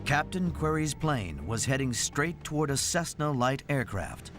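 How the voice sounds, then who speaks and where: A man narrates a dramatic documentary from a script. His pitch is medium and moves in a lively, expressive way. Music plays clearly behind him. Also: a little slow.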